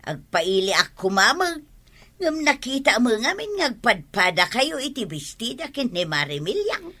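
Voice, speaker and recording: Casting: actress for obstinate, complaining Ilocano village woman, higher-set, sharp bright voice, comedy drama. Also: very animated, a little slow, heavily acted. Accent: Ilocano Filipino.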